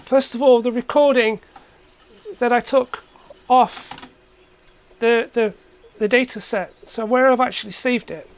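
A man's voice: very high voice, steady tone, unhurried, slight background noise. A man giving a casual, hands-on demonstration as an electronics hobbyist in his workshop. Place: cluttered indoor electronics workshop.

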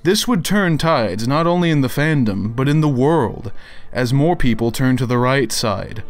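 A man's voice: mid-range voice, animated, moderate pace, natural voice. A man doing a dramatic reading as a pompous, indignant internet crank with an overly formal, theatrical delivery. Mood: haughty, menacing. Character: pompous, indignant male internet crank issuing grandiose demands. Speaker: narrator of read-out messages. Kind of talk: dramatic reading of written messages.

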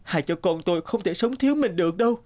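A man's voice: high voice, expressive, fast talker, darkish tone. A man voicing an earnest, emotional young village husband in a fairy-tale cartoon. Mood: pleading, longing. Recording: music under the voice.

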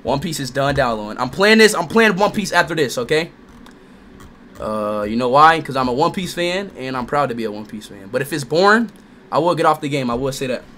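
A young man livestreaming a game, excitable and loud, talking straight to his chat and repeating himself. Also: on the high side, slight background noise. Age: young adult. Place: bedroom streaming setup, indoors.

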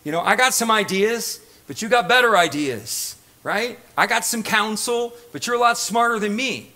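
A man's voice: high-pitched, expressive, normal speed, bright, forceful tone. A middle-aged man preaching an impassioned sermon, emphatic and repetitive, prodding listeners with rhetorical questions. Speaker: pastor. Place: church stage.

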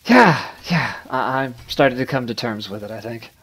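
A man's voice: medium pitch, animated, moderate pace, fairly bright tone, husky. Casual young man chatting over a game. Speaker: gaming video host. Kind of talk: casual gameplay chat.

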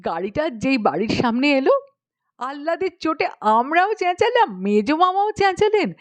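A woman's voice: on the high side, no echo. A woman audiobook narrator reading a humorous story expressively.

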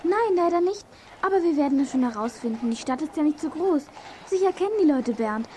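Earnest optimistic girl leader, piping high voice, children's audio play with clear noise. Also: animated, fairly quick, mellow. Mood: eager. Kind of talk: children's audio drama acting.

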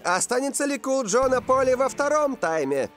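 Азартного комментатора с очень высоким голосом. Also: male voice, extremely high pitch, very animated, normal speed, faint music behind. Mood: excited. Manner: dramatic announcing. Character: excitable male cartoon football commentator. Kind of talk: sports commentary in animated cartoon.

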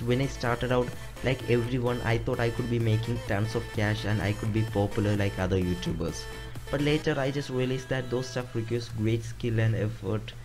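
A man's voice: fairly low pitch, vocal fry. A man in his twenties from Kerala whose first language is Malayalam, chatting casually as he answers viewer questions over mobile gameplay. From Kerala, South India. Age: 20s. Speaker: gaming YouTuber. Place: indoors, playing on a phone.

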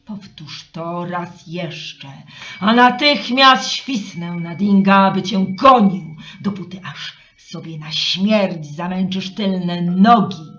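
Kobieta jako surowy, władczy bóg bagien. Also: female voice, on the low side, fairly bright tone, dull audio. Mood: indignant, threatening. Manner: commanding, deliberate, formal. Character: stern, imperious salt-marsh god, honourable.

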